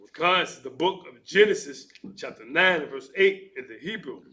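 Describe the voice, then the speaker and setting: A man reading scripture aloud slowly, stopping to restart difficult words. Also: fairly high pitch, animated, sharp tone. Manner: slow, halting reading with restarts on hard words. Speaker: scripture reader.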